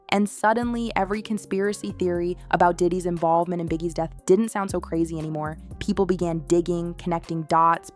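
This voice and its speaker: A woman reading a scripted, dramatic gossip exposé in short emphatic phrases, as a narrator. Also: on the low side. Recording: music bed under the voice. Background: background music.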